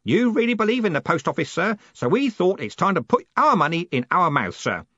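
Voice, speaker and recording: Sly old man for an audiobook, high cackly voice, wheedling and servile, swoops around loads. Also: big pitch swings, brisk, bright, forceful tone, no room sound, highs cut off, exaggerated voice. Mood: conspiratorial, defiant. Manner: deferential, wheedling, often adds "sir". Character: cackling old man, sly, eager, stubbornly loyal underling. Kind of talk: audiobook character voice.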